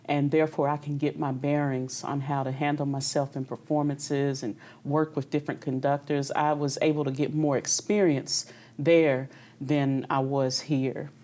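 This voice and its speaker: A woman's voice: low-pitched, level tone, normal speed, hardly any pauses, dark tone. A young woman opera singer from Kentucky, talking candidly and easily about her career in an interview. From Kentucky, USA. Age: young adult. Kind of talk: conversational interview.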